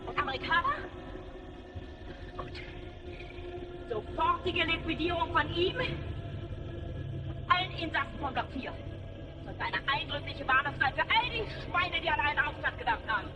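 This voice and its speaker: A woman's voice: high-pitched, animated, normal speed, pauses often. An actress voicing a cruel, contemptuous female camp commandant who barks orders in a video game. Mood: commanding. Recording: distant voice in a noisy game mix with loud music.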